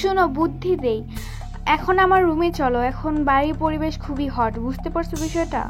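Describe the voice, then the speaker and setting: A woman's voice: high-pitched, a little fast, music in the background. A woman voicing a cheeky, teasing young girl in a cartoon. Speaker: cartoon narrator and lead voice.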